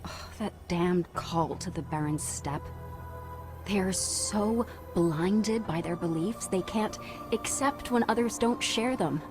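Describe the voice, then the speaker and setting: An actress playing a weary, dutiful Spartan priestess in a video game, speaking in a measured, reflective way. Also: female voice, fairly low pitch. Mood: bitter, exasperated. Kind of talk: cinematic video game acting. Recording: music behind the voice.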